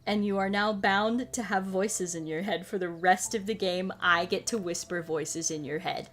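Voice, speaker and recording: A woman's voice: mid-range voice, fairly even intonation, moderate pace, fairly bright tone. A woman livestreamer chatting casually and playfully with viewers, rambling along and often repeating short phrases. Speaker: VTuber streamer chatting with viewers. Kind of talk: casual livestream chat over a game. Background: music.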